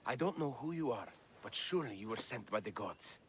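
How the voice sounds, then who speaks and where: A man's voice: on the low side, animated, fairly quick, a little hiss or hum. An actor playing a weary, bitter male slave with a downcast manner.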